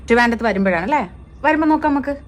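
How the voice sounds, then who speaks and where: This is a high, very animated woman's voice with a Kerala accent. She talks casually as if on a call, and a slight vehicle-like noise runs behind her. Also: rapid-fire. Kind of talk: casual phone conversation. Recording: noisy clip with vehicle ambience.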